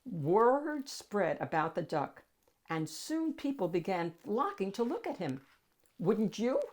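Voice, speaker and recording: A woman reading a picture book aloud as a storytime host, addressing young listeners with dramatic pauses and drawn-out key words. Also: medium pitch, very animated, darkish tone.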